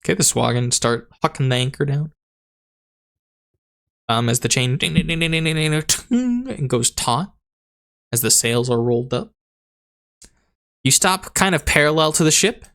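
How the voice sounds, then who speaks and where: Gravelly, animated man running a game. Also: on the high side. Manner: rambling, halting, laughs often. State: losing his voice. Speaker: dungeon master. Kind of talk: narrating a tabletop game.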